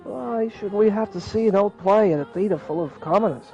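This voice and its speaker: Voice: medium pitch, a little fast, dark tone, muffled recording, faint music behind, pitched above the performer's own voice, natural voice. A player voicing a haughty, condescending young rich man who scorns commoners in a game recap. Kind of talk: improvised voice acting over a game.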